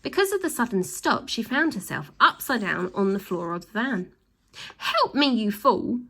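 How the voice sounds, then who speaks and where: Lady reading a children's book out loud, bright voice, bit of creak, storyteller style. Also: medium pitch, sing-song, normal speed. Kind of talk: animated storybook narration.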